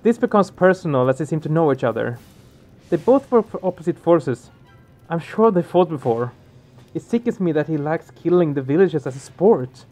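A man's voice: a little high, expressive, normal speed, a little mellow, faint music behind. A man hosting a game let's play, expressively reading the story aloud and reacting to it.

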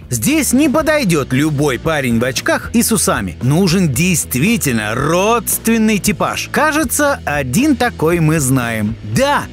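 A man reading scripted narration for an entertainment video, playful and exclamatory, speaking straight to the viewer. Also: high-pitched. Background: background music.

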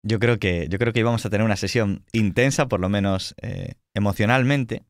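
A man's voice: fairly low pitch, fairly quick, no echo, clean studio sound. A man with a Spanish accent from Spain, casually hosting a livestreamed tabletop roleplay show as game master, with teasing, mock-boastful banter. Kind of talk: casual joking introduction of players on a livestream.

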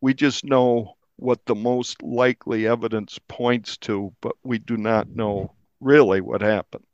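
A man's voice: medium pitch, fairly even intonation, a little slow, a little mellow, dry recording, lo-fi sound. A male journalist explaining his reporting in a podcast interview, earnest and repeating words for emphasis. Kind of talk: conversational true crime podcast interview.

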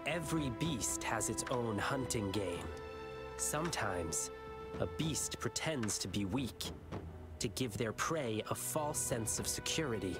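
An actor playing a youthful, righteous hero in a video game, earnest and expressive. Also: male voice, medium pitch, a little slow, loud music behind the voice, close to an everyday voice. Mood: stern, angry. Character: youthful heroic lion dancer boy, spirited and righteous.

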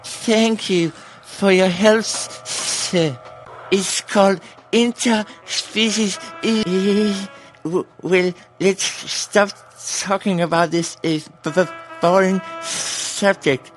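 A shifty, flustered rodent receptionist in a noir adventure game. He stammers slowly in a high voice, hesitant and apologetic, with music behind him. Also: steady tone. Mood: nervous, apologetic. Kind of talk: cartoon noir game character acting.

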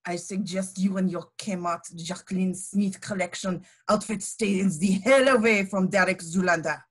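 A woman's voice: medium pitch, moderate pace, a bit bright, breathy edge. A woman voicing a bossy, menacing henchwoman, curt and commanding, in a comedic movie script read. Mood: threatening.

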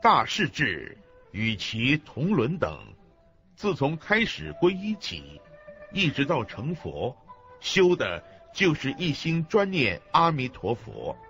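A man playing a solemn, emphatic reciter of Buddhist sayings on radio, with a raspy, forceful voice. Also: fairly high pitch, sing-song, slow, heavily acted. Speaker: reader of quotations in a radio lecture. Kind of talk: solemn scripted scripture recitation. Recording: dull lo-fi recording with faint music.

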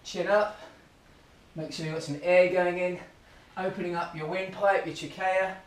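A man's voice: high-pitched, steady tone, normal speed. A man, coaching a home workout while he exercises, upbeat and encouraging, a bit out of breath. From Australia. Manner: upbeat, encouraging countdowns and cues. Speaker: running club fitness coach. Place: living room at home, indoors. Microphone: camera across the room. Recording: roomy, distant camera audio.

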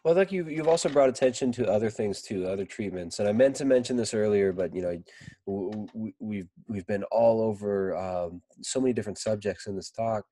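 A man's voice: on the low side, brisk, warm tone. A man in his thirties, a podcast guest chatting casually over a video call, loose and rambling with lots of "like" and "you know". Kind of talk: casual conversation. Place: indoor room. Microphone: video call, desk mic.

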